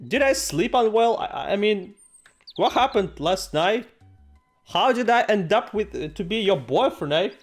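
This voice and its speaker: Male gaming host, higher-pitched, theatrical and jokey, reading game text with improvised reactions, music behind. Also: expressive, unhurried.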